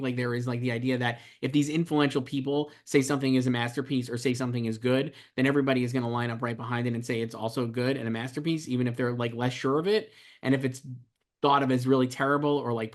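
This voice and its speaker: A man co-hosting a podcast, chatting casually in a rambling way, full of "like", and reading out listener emails. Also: medium pitch. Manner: fast, rambling, heavy use of "like". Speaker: podcast co-host.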